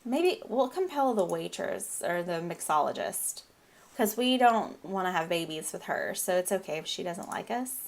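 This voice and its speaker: A woman's voice: fairly low pitch, very animated, normal speed. A woman in her thirties with a Southern US accent, chatting casually over her gameplay, her voice a little off from a lingering head cold and often breaking into giggles. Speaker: gameplay video host.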